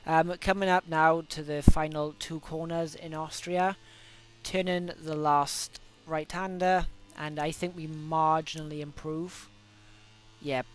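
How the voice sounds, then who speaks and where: A man's voice: a little high, fairly even intonation, a little slow. A young Welsh man with a cold coming on, chatting casually through his racing game laps with plenty of fillers. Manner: chatty, self-correcting, many fillers. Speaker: gaming video host. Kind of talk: casual gameplay commentary.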